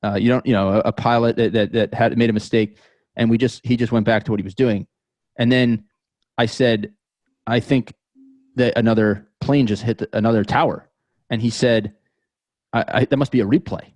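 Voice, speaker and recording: An American man in his thirties telling personal stories as an interview guest, thoughtful and halting, often repeating words as he goes. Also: medium pitch, steady tone, darkish tone, dry recording. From New York metropolitan area, United States. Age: 30s. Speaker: podcast guest. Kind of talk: reflective interview storytelling.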